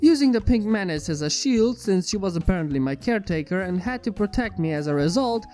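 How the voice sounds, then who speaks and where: High-pitched, mellow man reading a story. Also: lively intonation, a little fast. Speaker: fan fiction narrator. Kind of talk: scripted first-person story narration. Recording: background music under the voice. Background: music.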